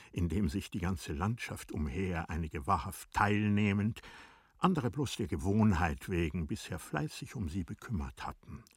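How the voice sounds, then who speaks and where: A man narrating a literary radio drama in a measured, composed manner. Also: low-pitched, husky, no echo, studio quality.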